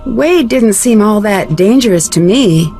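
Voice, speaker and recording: An actress playing a polite, inquisitive young woman detective in a video game, calm and direct. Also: medium pitch, a little slow, soft background music. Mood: probing. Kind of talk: video game character dialogue.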